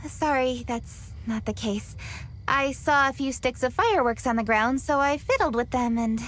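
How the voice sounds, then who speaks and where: A woman voicing a brisk, earnest young noble commander in a game cutscene. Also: high voice, fairly bright tone. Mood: sheepish.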